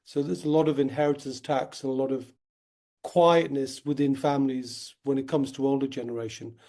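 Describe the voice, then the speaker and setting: A man being interviewed as a guest, explaining in an earnest, steady way, his voice slightly croaky from a cold. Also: medium pitch, moderate pace. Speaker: guest, wealth company founder. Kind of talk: conversational interview.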